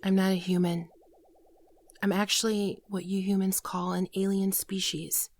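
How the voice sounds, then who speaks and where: A woman playing a frightened, apologetic shapeshifting alien posing as a human girlfriend in an intimate ASMR roleplay, halting and tearfully pleading, often dropping to a whisper. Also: mid-range voice, little pitch movement, a little mellow, natural voice. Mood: regretful, explaining.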